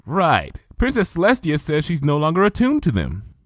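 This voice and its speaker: A man's voice: fairly high pitch, huge pitch swings, normal speed, no echo, clean studio sound, voice pushed up. A man voicing an earnest, bookish young female unicorn scholar in a fan fiction reading, talking eagerly and chattily.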